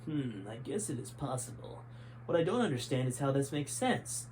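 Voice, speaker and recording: A man voicing a scheming male general who talks low and quiet in a read-aloud story. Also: very animated, moderate pace, slight background noise, close to an everyday voice, poor audio. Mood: puzzled.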